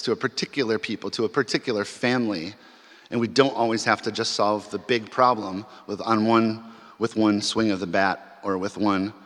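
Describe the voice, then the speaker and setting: A man's voice: mid-range voice, fairly bright tone. Man telling a story in a reverberant chapel. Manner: conversational, with many restarts and fillers. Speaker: symposium speaker. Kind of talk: storytelling talk to an audience. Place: large chapel. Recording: long hall reverb.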